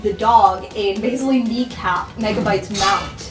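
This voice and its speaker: A woman's voice: mid-range voice, normal speed. A woman taking part as a player in a tabletop game, casually describing her character's actions. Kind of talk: casual improvised roleplay. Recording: roomy, distant mic, music underneath. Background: music.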